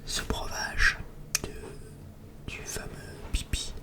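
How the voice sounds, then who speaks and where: A person whispers a breathy, hesitant, rambling ASMR chat to viewers as a video creator. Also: extremely slow, pushed and bright.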